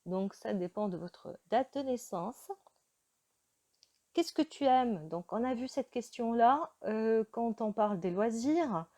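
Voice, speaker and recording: Woman teacher, slow and mellow, patient beginner lesson with pauses. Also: on the high side, animated. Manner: slow, careful teaching delivery with frequent hesitations. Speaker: French teacher.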